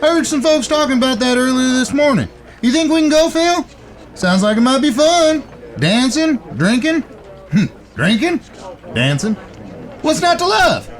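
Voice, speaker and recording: A dim, fun-loving cowboy younger brother for an audio Western. He is impish and cheeky, with an extremely high, very animated voice. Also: moderate pace. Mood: playful. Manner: goofy, impish. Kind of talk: Western audio drama acting.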